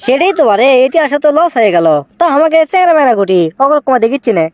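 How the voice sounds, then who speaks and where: A woman's voice: high voice, big pitch swings, fast, darkish tone. A woman voicing a brash, boastful young village footballer in a comic cartoon, with a rural northern Bangladeshi accent. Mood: indignant, bossy. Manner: brash, boastful, scolding banter.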